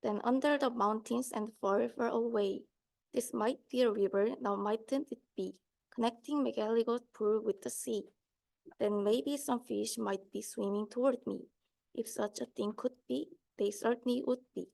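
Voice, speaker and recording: A woman's voice: a little high, steady tone, normal speed, creaky, darkish tone. A young woman student reading a rhyming children's book aloud. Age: young adult. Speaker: student reader.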